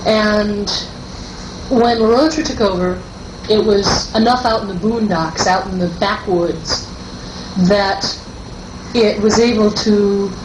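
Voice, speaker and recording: A woman speaks slowly and explains things in a measured, orderly way, as a game designer in a group discussion. Her mid-pitched voice comes through a noisy, roomy early-eighties cassette recording.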